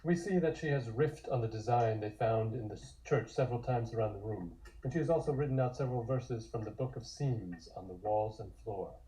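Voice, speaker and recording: Mellow man narrating a script. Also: mid-range voice, brisk, few pauses, poor audio. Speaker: narrator reading scene descriptions at a table read.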